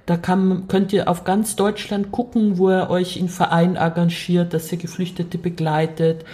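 A woman podcast guest talking about her association, enthusiastic and quick to laugh. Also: lowish voice, little pitch movement, warm tone. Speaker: project worker.

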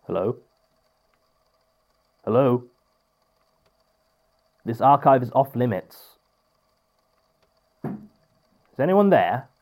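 A man's voice: mid-range voice, full character voice. A man playing a prim, irritable archivist in an audio drama, speaking formally and precisely with a testy edge. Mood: alarmed, testy. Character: prim, irritable male archivist recording statements.

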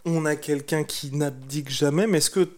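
A man's voice: on the high side, fairly even intonation, normal speed, a little mellow. A man hosting a casual interview, informal in his wording, often rephrasing his questions and interjecting. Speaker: interviewer, host.

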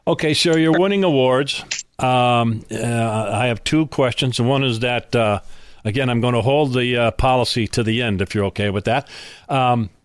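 A man's voice: medium pitch, moderate pace, clean tone, no echo. A man hosting a radio business show, speaking informally and bluntly in a conversational interviewing style.

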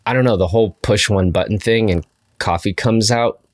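A man's voice: lowish voice, a little fast. A young man hosting a casual podcast chat, talking in a dry, joking, self-mocking way. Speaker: podcast host.

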